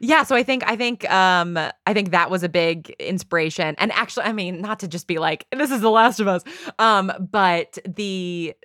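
A woman's voice: mid-range voice, normal speed, few pauses, a bit bright, dry recording, clean studio sound. A woman being interviewed casually, thinking aloud in a meandering way with lots of filler words. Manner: thinks aloud, meandering, frequent "like" and "you know". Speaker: interview guest, audio drama writer.

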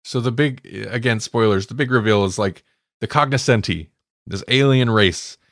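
A man's voice: medium pitch, normal speed, fairly bright tone, dry recording, studio quality. A man co-hosting a podcast, talking through stories in an informal, conversational way as if thinking aloud. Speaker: podcast co-host. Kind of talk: casual discussion and recap of audio dramas.

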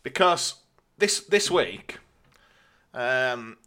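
A man's voice: mid-range voice, big pitch swings, extremely slow, pushed and bright. A man with a British accent, talking casually as a podcast co-host. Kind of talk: casual chat.

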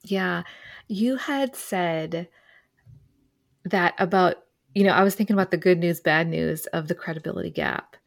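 Thoughtful podcast host woman, lowish voice. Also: a little slow, vocal fry. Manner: thoughtful, affirming, frequent "mm"s. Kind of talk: podcast interview conversation.

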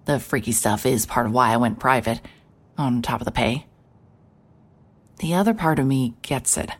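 A woman playing a hard-hearted, sarcastic private investigator with a deadpan delivery in a mystery audio drama. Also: very deep voice, normal speed, breathy edge. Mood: wry, brooding. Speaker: lead actor in an audio drama. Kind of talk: cinematic audio drama acting, noir narration.

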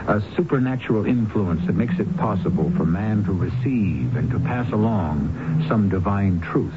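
A man hosting and narrating a radio mystery drama in a deliberate, portentous, scripted style. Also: lowish voice, expressive, heavy background noise. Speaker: host and narrator. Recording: dull 1970s radio broadcast. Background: loud music.

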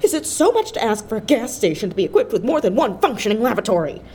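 A woman's voice: a little high, very animated, fast talker, darkish tone, slight background noise. An actress playing a brash, impatient, bossy woman in an audio drama, blunt and exasperated.

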